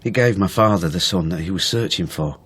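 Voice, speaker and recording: An actor playing a weary, self-reproaching estranged son in a radio drama, reflective and understated. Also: male voice, low-pitched, fairly quick, fairly bright tone, slightly rough. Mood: rueful.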